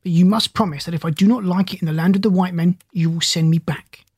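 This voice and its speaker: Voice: medium pitch, steady tone, quick pace, darkish tone, slightly rough, dry recording, studio quality. A reader voicing a shy, polite two-headed creature. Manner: timid, courteous.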